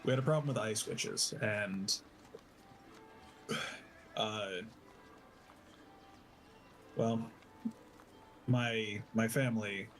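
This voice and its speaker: A man with a low voice speaks slowly as a tabletop roleplay player, improvising his character's story. He pauses and corrects himself often, with music playing underneath. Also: rough recording. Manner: halting, self-correcting.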